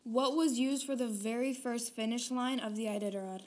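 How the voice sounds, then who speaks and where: A woman's voice: a little high, steady tone, normal speed, a little mellow. A woman asking direct, plain interview questions. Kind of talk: casual interview.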